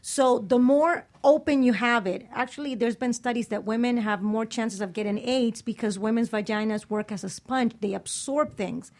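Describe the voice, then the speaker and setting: A woman's voice: fairly high pitch, normal speed, hardly any pauses, a little mellow. A woman co-hosting a radio talk show, chatting casually and explicitly in a blunt, opinionated, sweary way.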